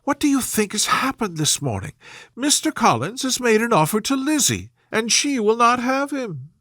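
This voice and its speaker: A gleeful teenage gossip from a period storybook, played by a male reader. The voice rises high and lilts with eagerness, hushed like someone sharing a delicious secret. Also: very animated, normal speed, dry recording, clean studio sound, full character voice. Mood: gleeful excitement. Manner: eager, hushed half-whisper. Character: excitable gossiping teenage girl, gleeful and breathless with news.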